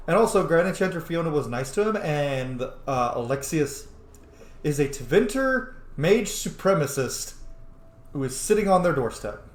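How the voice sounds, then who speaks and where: A man's voice: on the high side, sing-song, normal speed. A man chatting casually as he plays a video game, swearing now and then. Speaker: gamer playing and commenting on a video game. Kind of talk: casual gameplay commentary, reading choices aloud. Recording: echoey room, faint background noise.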